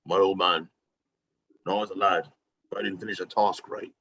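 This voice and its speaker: A man playing a gruff, guarded mercenary father with a husky voice and terse delivery in an amateur table read. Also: low voice, level tone, moderate pace, sharp tone, full character voice. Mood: haunted, protective. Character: gruff, guarded middle-aged mercenary father, quietly protective. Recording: dull lo-fi microphone.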